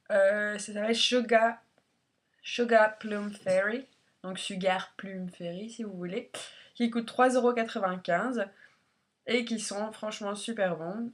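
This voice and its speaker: A woman with a congested, head-cold voice chats informally and a little hesitantly as she reviews products in a casual beauty vlog. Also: mid-range voice. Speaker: beauty vlogger.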